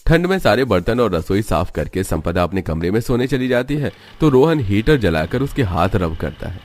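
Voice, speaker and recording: A man's voice: lowish voice, sing-song, normal speed, a little mellow. A man giving scripted narration for a cartoon family story as its narrator.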